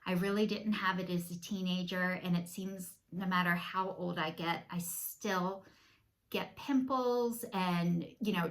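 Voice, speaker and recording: A woman's voice: mid-range voice, bright, forceful tone. A woman, chatting candidly and self-deprecatingly to camera from her home. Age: 50s. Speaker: vlogger. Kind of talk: casual chat to camera.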